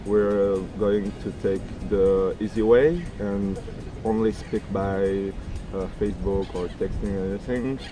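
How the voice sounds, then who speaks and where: A man's voice: lowish voice, fairly even intonation, measured pace, over music. A man from France, answering interview questions hesitantly and searching for words. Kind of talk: street vox pop.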